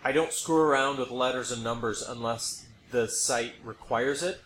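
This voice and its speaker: A man's voice: mid-range voice, moderate pace, a bit bright. A man hosting a podcast, explaining his opinions casually and emphatically, repeating points for effect. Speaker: podcast host. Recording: roomy echo, background noise.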